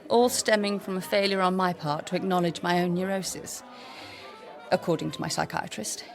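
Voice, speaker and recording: An actress playing a flirtatious, bored upper-class wife in a period radio drama, teasing and sardonic. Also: female voice, lowish voice, steady tone, normal speed, vocal fry. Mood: bitter, wry. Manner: arch, teasing. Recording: music behind the voice.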